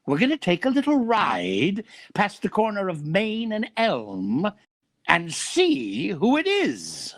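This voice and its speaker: A man's voice: high-pitched, wildly swooping pitch, slow, full character voice. A man acting a nosy, gleeful businessman in an old-time radio comedy. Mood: scheming glee. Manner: prying, exclamatory. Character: nosy, gleeful businessman boss, a gossipy busybody.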